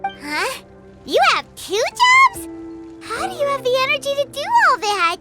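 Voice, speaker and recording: A woman voicing a tiny childlike floating fairy companion in a video game, greedy, dramatic and whiny, with a squeaky voice. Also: wildly swooping pitch, measured pace, loud music behind the voice, exaggerated voice. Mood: curious, chatty. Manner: refers to herself in third person, exaggerated.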